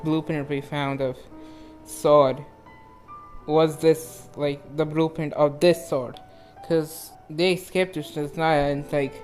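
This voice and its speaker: A man giving casual, excitable and rambling reaction commentary on a video game in an informal way. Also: a little high, takes it slowly. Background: game music.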